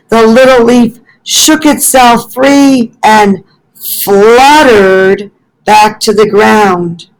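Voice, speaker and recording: Librarian lady doing storytime for little kids, really slow, careful, explains stuff as she reads. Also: fairly high pitch, poor audio. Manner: careful, explanatory, addressing young children. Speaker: school librarian reading to children. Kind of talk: read-aloud storytelling with teaching asides.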